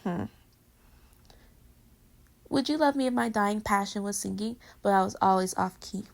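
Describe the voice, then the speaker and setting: A woman's voice: medium pitch, normal speed, creaky, lightly acted. A woman playing a playful, affectionate girlfriend in a casual, intimate pillow-talk roleplay, teasing her partner. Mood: playful, curious. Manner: casual, teasing, conversational with giggles. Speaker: audio roleplay voice actor.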